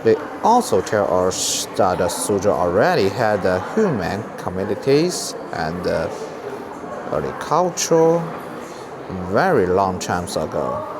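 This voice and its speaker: Slow, low-voiced Chinese-accented man, noisy backdrop. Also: big pitch swings. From China. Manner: halting, simple non-native English. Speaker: vlogger giving a museum tour. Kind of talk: informal travel vlog narration. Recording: clear background noise, on-location ambience.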